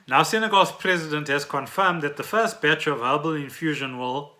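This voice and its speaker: A man's voice: on the high side, moderate pace, bright, forceful tone. A South African man hosting a podcast, explaining trending news in a conversational way and often checking in with the listener. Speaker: podcast host.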